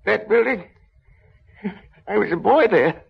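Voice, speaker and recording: An actor in an old radio drama playing a frightened, pleading elderly miser with a quavering, anxious manner. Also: male voice, sits high, lively intonation, a little slow, creaky, breathy edge, highs cut off, exaggerated voice. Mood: anxious, wondering. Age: older. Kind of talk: 1930s-40s radio drama acting. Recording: old radio recording with loud music.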